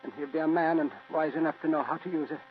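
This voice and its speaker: An actor playing a dying, stubborn Scottish baronet and wounded soldier in an old radio drama. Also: male voice, on the high side, steady tone, quick pace, close to an everyday voice. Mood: weary, determined. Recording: muffled old radio recording with hum and hiss.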